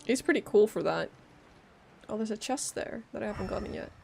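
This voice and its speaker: A woman with a medium-pitched voice streams a game live. She is casual and playful, muttering jokes to herself as she comments and reads along. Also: expressive, brisk, creaky, darkish tone, slight background noise. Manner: playful, joking, muttering to self. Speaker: game livestreamer.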